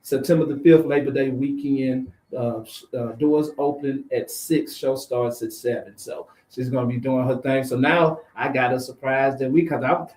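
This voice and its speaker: A man hosting a radio show, chatting casually with a guest in a brisk, bantering way. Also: mid-range voice, fairly even intonation, a little mellow. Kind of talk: casual interview and show patter. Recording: roomy studio sound.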